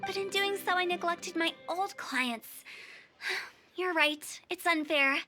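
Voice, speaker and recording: A woman's voice: extremely high pitch, slow. A woman playing a perky, cheeky young funeral parlor director in an anime game, with theatrical sales patter. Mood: cheerful, scheming. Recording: game audio with music behind.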